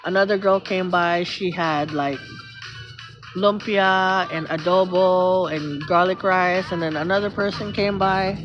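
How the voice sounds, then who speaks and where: A middle-aged woman with a Filipino accent, chatting outdoors on a phone during a live stream. She rambles through long stories and thanks viewers by name. Her audio sounds a little dull and muffled, with music playing clearly underneath. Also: on the low side, fairly even intonation, measured pace. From Philippines. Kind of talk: casual live-stream chat. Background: background music.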